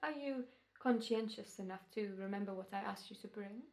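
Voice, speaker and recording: A woman's voice: mid-range voice, creaky, close to an everyday voice. A woman playing a courteous, sinister, knowingly amused doctor in an old-time radio drama. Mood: cool, polite. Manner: polite, measured, knowingly amused. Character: suave, sinister, courteous doctor scheming over murder.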